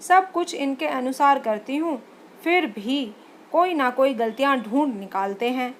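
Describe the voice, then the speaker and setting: A woman voicing a timid young wife who speaks softly and pleadingly in a storybook reading. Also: sits high, lively intonation, a little slow, slight background noise. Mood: tearful. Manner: soft, pleading. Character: timid young wife, eager then tearful.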